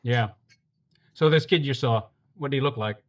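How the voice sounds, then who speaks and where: An actor playing a terse police detective who narrates the case in a clipped, matter-of-fact way. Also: male voice, mid-range voice, moderate pace, dull audio, close to an everyday voice. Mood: deadpan.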